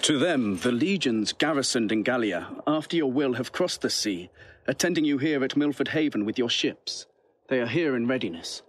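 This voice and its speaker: An actor playing a dutiful Roman captain in a Shakespearean audio drama, reporting in formal, declamatory verse. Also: male voice, mid-range voice, expressive, normal speed, slight background noise, natural voice. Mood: brisk, dutiful.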